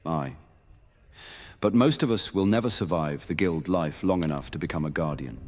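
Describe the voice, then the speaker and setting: A man's voice: low voice, normal speed, a little mellow, slight background noise, natural voice. A man playing a stern veteran monster hunter knight in a fantasy audio roleplay, speaking formally and solemnly. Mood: grave, instructive. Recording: long hall reverb under music.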